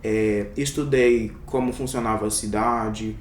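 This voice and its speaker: Young adult man from Brazil, interview guest; hesitant and reflective, mid pitch, a bit distant from the mic in a roomy space. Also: fairly even intonation, measured pace. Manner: reflective, many hesitations and fillers. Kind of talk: casual interview. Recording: echoey room, somewhat off-mic, faint rumble.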